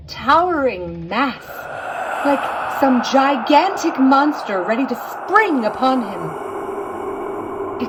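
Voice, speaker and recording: A woman narrating a spooky tale in a theatrical, suspenseful way, with deliberate dramatic pauses, like a storybook reader. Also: medium pitch, background noise.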